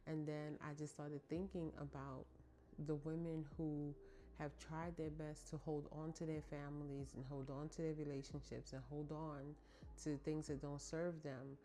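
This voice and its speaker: A woman's voice: deep voice, level tone, unhurried, dark tone. A middle-aged woman hosting a podcast, talking to her listeners in a casual, heartfelt monologue with a chatty, emphatic manner. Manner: chatty and emphatic, addresses listeners as ladies and y'all. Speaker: podcast host.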